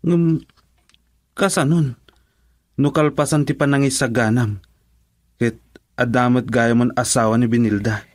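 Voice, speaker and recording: A man's voice: medium pitch, fairly even intonation, measured pace, pauses often, no echo, studio quality, lightly acted. A man with an Ilocano accent playing a chatty friend in a radio drama.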